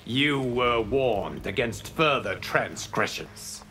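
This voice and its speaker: A man's voice: medium pitch, lively intonation, slow, bright, forceful tone, slight background noise. An actor voicing a righteous male monk champion in a fighting game, speaking in an earnest, firm way. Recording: game audio with music behind.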